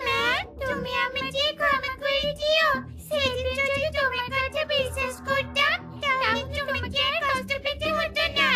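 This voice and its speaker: Tiny, very squeaky cartoon duckling. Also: female voice, flat delivery, measured pace, music in the background. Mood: apologetic. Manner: squeaky, earnest pleading. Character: small, pleading, naive duckling child.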